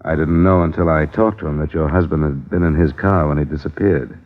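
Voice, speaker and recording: A man's voice: very deep voice, brisk. An actor playing a terse male insurance investigator who narrates his own case in a 1950s radio drama. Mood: probing. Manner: terse, matter-of-fact, probing. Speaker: lead detective and narrator. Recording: lo-fi old radio broadcast with music.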